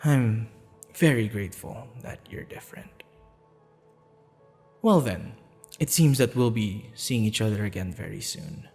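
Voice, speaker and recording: A smitten assassin played by a man courts the listener in an ASMR roleplay, his voice swathed in long reverb with music underneath. He talks slowly and softly in formal, courteous phrases, flirtatious yet a little sheepish, with a gravelly creak. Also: on the low side. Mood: warm, apologetic. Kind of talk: ASMR roleplay, intimate scripted monologue.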